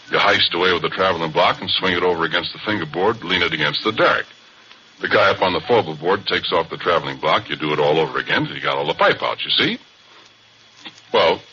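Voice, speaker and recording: An actor playing a folksy, easygoing oil driller who narrates in a drawl, in a 1940s radio drama. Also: male voice, very deep voice, pushed and bright. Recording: dull old radio broadcast, faint hiss.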